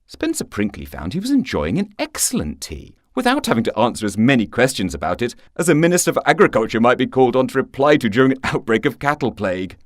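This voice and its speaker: A man's voice: medium pitch, very animated, fast talker, hardly any pauses, no room sound, studio quality. A man narrating a scripted short story for radio in a wry, theatrical storytelling manner.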